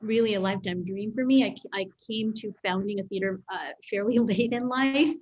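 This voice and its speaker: A woman's voice: mid-range voice, a little mellow. Woman theater founder, restarts and repeats, muffled call sound. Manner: halting, repeats words, laughs easily. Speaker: theater founder and artistic director. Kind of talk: video-call interview.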